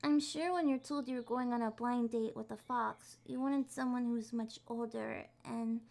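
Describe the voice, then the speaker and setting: A woman's voice: a little high, steady tone, normal speed, gravelly, a little mellow. A woman voicing an adorkable young kitsune in an ASMR roleplay, with a flustered, hesitant, primly formal way of talking. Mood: dejected, apologetic. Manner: flustered, hesitant, primly wordy, awkwardly insisting on maturity. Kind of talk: ASMR roleplay, acted one-sided date conversation.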